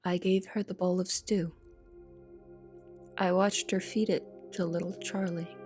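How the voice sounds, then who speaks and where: A woman's voice: on the low side, level tone, a little slow, a little mellow, soft background music, natural voice. A woman playing an anxious young cook in an audio drama, narrating and speaking with confessional, dramatic intensity. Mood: haunted, uneasy. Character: anxious young female cook in a big house. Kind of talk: audio drama first-person narration and dialogue.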